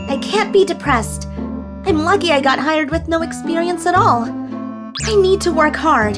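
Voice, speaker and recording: A woman voicing a high-pitched, timid young part-timer. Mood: determined. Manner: meek, hesitant, stammering when flustered. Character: timid, hardworking young part-timer who dreams of designing. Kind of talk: scripted first-person manga dub narration.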